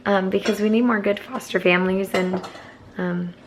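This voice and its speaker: A hoarse young woman chatting to camera as a foster parent, rambling with many fillers. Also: medium pitch, fairly even intonation, moderate pace, a crowd in the background. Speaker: vlogger. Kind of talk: casual chat to camera.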